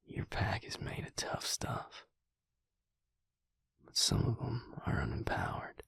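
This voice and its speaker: An actor playing a protective, earnest vampire lover in an intimate audio roleplay, speaking in a breathy, husky whisper with a soothing, folksy drawl. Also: male voice, takes it slowly, a bit bright, dry recording. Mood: somber, confiding.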